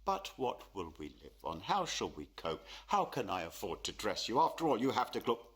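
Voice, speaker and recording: A man's voice: fairly low pitch, huge pitch swings, moderate pace, a bit bright, slightly rough, exaggerated voice. An actor playing a gloomy, superstitious and proud middle-aged portrait painter and father in a radio play, brooding and theatrical. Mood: despairing, self-pitying. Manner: theatrical, brooding, self-pitying.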